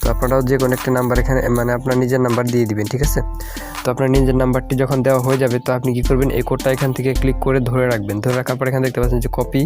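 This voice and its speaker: Male tutorial host, very rapid and even-toned delivery, instructional and informal, with music underneath. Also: mid-range voice. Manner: repeatedly checks in with the listener. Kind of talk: informal step-by-step video tutorial narration. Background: background music.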